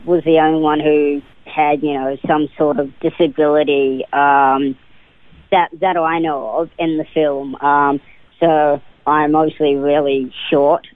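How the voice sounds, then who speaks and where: A man's voice: fairly high pitch, no echo. Young New Zealand man, a hesitant guest full of ums, heard over a thin, boxy phone line. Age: 20s. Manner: hesitant, many "um" fillers and restarts. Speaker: guest actor. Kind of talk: casual interview answers. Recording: tinny, dry phone line.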